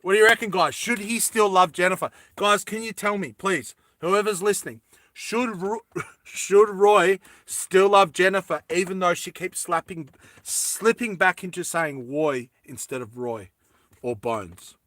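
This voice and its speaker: A man's voice: high voice, unhurried, fairly bright tone, no echo, clean studio sound. A man hosting a casual comic chat, talking in a slangy, teasing way. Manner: slangy, teasing, laughs often. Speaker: host.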